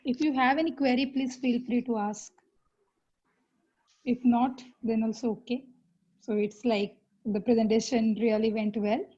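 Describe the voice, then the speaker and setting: A woman's voice: on the high side, fairly even intonation, measured pace, warm tone, slightly rough, highs cut off. A woman moderating a question round in an online workshop, speaking hesitantly with many fillers.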